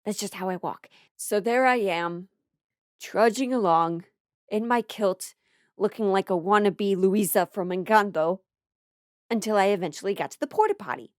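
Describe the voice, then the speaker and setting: An American woman with a mid-pitched voice performs a casual comedy monologue. She tells her story playfully, with theatrical emphasis and mock-serious asides. The sound is dry and free of room echo. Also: normal speed.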